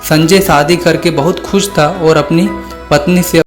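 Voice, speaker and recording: Male storyteller for scripted horror narration, slightly high pitch, soft music bed. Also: steady tone, unhurried.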